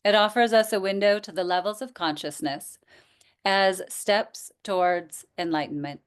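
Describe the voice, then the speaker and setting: A woman teaching yoga nidra, guiding relaxation in a gentle, inviting manner with pauses. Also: mid-range voice, fairly even intonation, smooth. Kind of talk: guided relaxation instruction.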